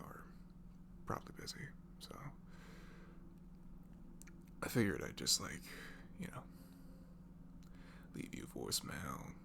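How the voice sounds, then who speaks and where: A man speaking softly and breathily in an improvised, comforting ASMR voice message, rambling affectionately and informally as if to a close friend. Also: deep voice, creaky. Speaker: amateur ASMR audio creator playing a supportive friend.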